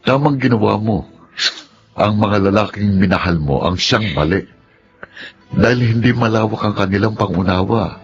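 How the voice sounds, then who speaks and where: A man playing a gentle, protective older father in a Tagalog radio drama. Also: lowish voice, normal speed, pauses often, close to an everyday voice. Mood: comforting, firm. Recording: muffled lo-fi with faint music.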